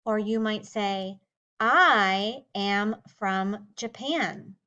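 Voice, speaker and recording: A woman teacher giving a slow, clearly spoken English lesson to young learners, drawing out each word. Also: mid-range voice, bright, forceful tone, muffled recording.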